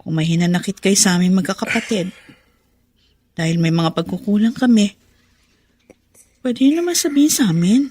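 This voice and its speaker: A woman's voice: mid-range voice, normal speed, pauses often, a little mellow, husky, close to an everyday voice. An actress playing a concerned, hurt adult daughter pleading with her father in a Filipino radio drama.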